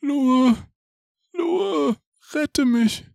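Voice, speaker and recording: A gentle, starving youth from a storybook, trapped and pleading. The voice climbs very high into a drawn-out, helpless wail. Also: male voice, vocal fry, dry recording, full character voice. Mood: weak, pleading.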